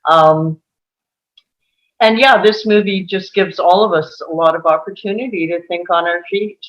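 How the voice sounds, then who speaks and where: A woman talking in an unhurried way, with soft laughs slipped between her phrases, over a video call. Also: on the low side. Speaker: table read participant. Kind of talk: casual panel discussion. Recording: muffled lo-fi mic.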